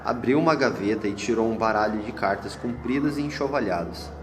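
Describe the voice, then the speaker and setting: Brazilian male narrator for radio drama, lowish pitch, reverberant room sound, scripted delivery. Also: fairly quick. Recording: echoey hall, long reverb, music bed. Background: music.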